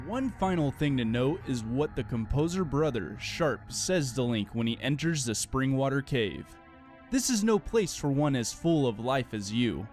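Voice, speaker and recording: A man narrating a scripted, analytical video game theory explainer. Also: mid-range voice. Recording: music playing behind the voice. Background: background music.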